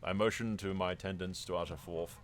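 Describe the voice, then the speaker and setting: A man voicing a newly crowned fantasy king, reading scripted first-person game narration in a measured, formal, solemn manner. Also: deep voice, fairly even intonation, slight background noise, natural voice.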